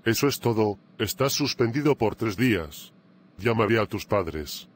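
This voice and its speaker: A man voicing an angry red-faced school principal, loud and scolding. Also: lowish voice, animated, unhurried, a little hiss or hum. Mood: furious.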